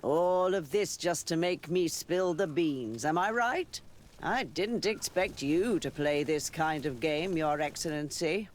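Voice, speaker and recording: A man playing a shrewd, sardonic political rival in a video game, speaking in a deliberate, knowing way. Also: on the high side, a little hiss or hum, close to an everyday voice. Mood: conceding. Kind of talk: video game cutscene acting.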